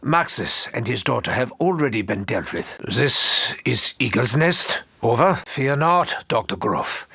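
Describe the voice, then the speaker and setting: A man's voice: mid-range voice, big pitch swings, unhurried, creaky, few pauses, pushed and bright, slightly rough, no echo, heavily acted. A male actor playing a sinister, scheming German doctor in a video game, with precise, formal and theatrical delivery. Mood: scheming, curious.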